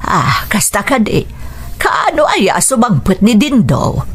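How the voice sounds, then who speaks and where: A woman's voice: mid-range voice, big pitch swings, slow pace, husky, exaggerated voice. An actress playing an exacting older mother-in-law in a radio drama, speaking in an insistent, admonishing manner. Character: exacting older mother-in-law, nagging about household duties.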